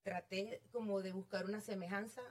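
A woman's voice: medium pitch, steady tone, normal speed, darkish tone. A middle-aged woman with a Venezuelan accent, telling her story casually as an interview guest. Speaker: interview guest, real estate agent.

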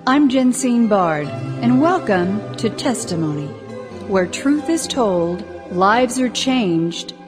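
Woman, show host, dramatic and emphatic, medium pitch, soft music in the background. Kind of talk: scripted introduction and interview.

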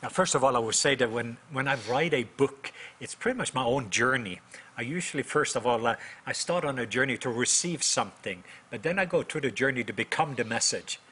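A man's voice: medium pitch, expressive, brisk, pushed and bright, slightly rough. A man in his fifties from Norway with a Norwegian accent, speaking conversationally as an interview guest about his own experience. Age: 50s. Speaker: guest, minister and author.

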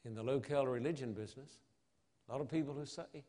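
A man preaching an evangelistic sermon in a solemn, emphatic manner, with dramatic pauses and rhetorical questions. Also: mid-range voice, warm tone. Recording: long hall reverb.

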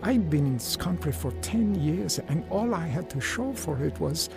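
Music plays behind an earnest young immigrant man in a radio drama. His voice is lightly high and moves up and down a lot, with a naive air. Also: lively intonation, gravelly. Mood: rueful. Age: young adult. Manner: hesitant, halting broken English in dialogue. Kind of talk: radio drama acting and first-person narration.

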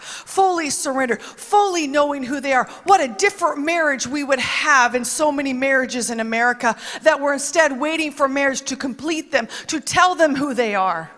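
A woman's voice: high-pitched, expressive, normal speed, hardly any pauses, fairly bright tone. A woman preaching a conversational sermon, emphatic and chatty, with asides that quote other people. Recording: long reverb of a large hall.

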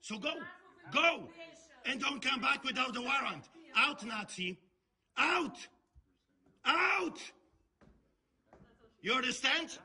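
Furious man, shouting. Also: very high-pitched, lively intonation, takes it slowly, long pauses, background noise. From Poland. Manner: shouting commands, furious and defiant. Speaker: pastor. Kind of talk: archive clip of a confrontation.

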